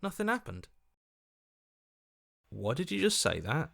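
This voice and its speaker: An actor playing an earnest, anxious heroic inner voice in a video game, protesting and questioning. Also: male voice, on the high side, wildly swooping pitch, measured pace, vocal fry, a bit bright, full character voice. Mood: anxious, bewildered. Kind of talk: dramatic game voice acting.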